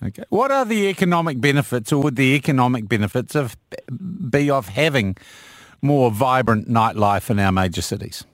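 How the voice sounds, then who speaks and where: A man's voice: medium pitch, animated, no room sound. A man hosts a radio interview in a loose, conversational manner, now and then stumbling over a question. His voice is in the middle range, recorded dry and close. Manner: conversational, a bit halting in questions. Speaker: radio talk show host.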